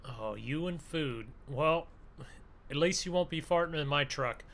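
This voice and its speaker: A man bantering in an improvised gaming roleplay, excitable and full of exclamations, often urging others on. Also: on the high side, a little slow. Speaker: gaming video creator playing a truck roleplay. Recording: faint background noise.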